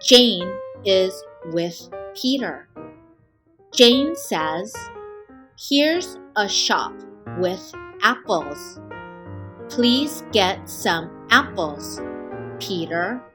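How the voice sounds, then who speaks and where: Female read-aloud narrator for an early reader, extremely slow and deliberate, medium pitch, light music bed. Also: animated, sharp tone. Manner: deliberate, word-by-word enunciation. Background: background music.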